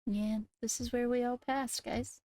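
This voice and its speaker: A woman's voice: on the high side, steady tone, unhurried, darkish tone, no echo. A woman chatting casually with a group while playing a roleplay game. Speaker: Minecraft roleplay player.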